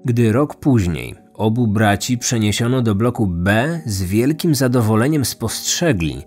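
A man's voice: on the low side, expressive, unhurried, a little mellow. A man narrating a scripted true crime story as a podcast host. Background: background music.

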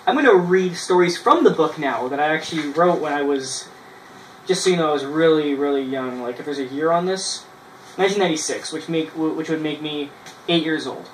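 A man, casually reading his old writing aloud in a halting way, with self-mocking asides, in a small bedroom. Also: on the high side. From Canada. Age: 20s. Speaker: vlogger. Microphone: camera a few feet away. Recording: roomy, distant mic with noise.

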